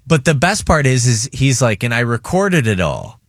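A man's voice: medium pitch, dry recording. Storytelling male radio host, dry studio. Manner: animated storyteller, quick asides. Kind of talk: casual morning radio banter.

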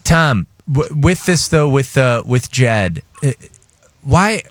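Man, American accent, radio host, joking, excitable, interjecting, mid pitch, dry close sound. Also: takes it slowly, no echo. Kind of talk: casual radio banter.

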